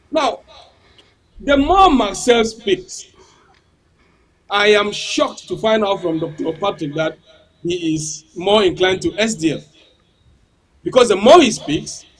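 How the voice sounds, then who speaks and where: A man's voice: sits high, husky. A man, not a native English speaker, arguing heatedly as a panel guest in a political debate, firing off rhetorical questions and repeating words.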